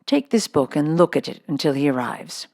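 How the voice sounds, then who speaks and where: A woman acts out an imposing storybook headmistress, severe and tartly polite. She speaks in a clipped, commanding way, prim to the last word, with some gravel in the voice. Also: deep voice, animated, normal speed, mellow, no echo, studio quality. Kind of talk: children's storybook reading.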